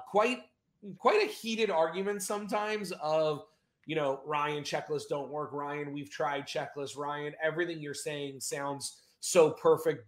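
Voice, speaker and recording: Chatty upbeat guy hosting a video chat, bit of echo around him. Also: a little high. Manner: upbeat, chatty, energetic opener. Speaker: host. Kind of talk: casual video interview.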